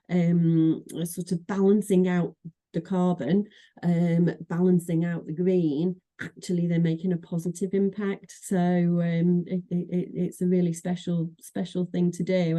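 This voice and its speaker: Dark-voiced Mancunian woman. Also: lowish voice. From Manchester, England. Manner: chatty, many fillers and restarts. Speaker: association executive director, guest. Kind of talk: podcast interview.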